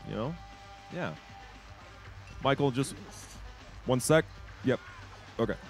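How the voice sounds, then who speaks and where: A man co-hosting a live video game show in casual, excitable, joking chat. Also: mid-range voice, takes it slowly, soft background music. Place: studio, live group show.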